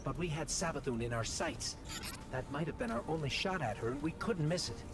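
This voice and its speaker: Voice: mid-range voice, expressive, fairly quick, background noise, natural voice. An actor playing an earnest soldier reporting back from a space mission in a video game, urgent and explanatory. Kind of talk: cinematic video game acting.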